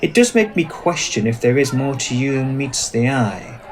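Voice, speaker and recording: A man playing an ancient, suspicious male vampire in an ASMR roleplay, speaking in formal, measured, commanding phrases. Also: medium pitch, sing-song, a little hiss or hum, close to an everyday voice. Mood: probing, suspicious. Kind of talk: scripted ASMR roleplay acting.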